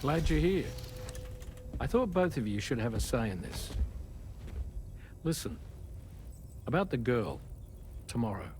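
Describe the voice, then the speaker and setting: Game cutscene leader, serious, considerate, animated intonation, faint background noise. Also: male voice, medium pitch, measured pace, pauses often. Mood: grave, measured. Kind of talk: video game cutscene acting.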